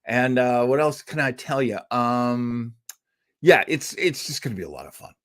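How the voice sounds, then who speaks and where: A man's voice: medium pitch, lively intonation, moderate pace. A man hosting a podcast, talking casually and enthusiastically about old radio history, chatty with fillers and asides. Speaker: podcast host.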